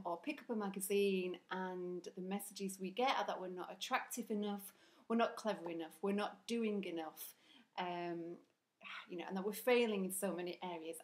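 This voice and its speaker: A woman with a broad Northern English accent talks straight to the camera in a casual vlog. Her delivery is earnest and chatty, full of ums and little restarts, with a voice of ordinary middle pitch. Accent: broad Northern English, Rochdale and South Yorkshire mix. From Northern England. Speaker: vlogger.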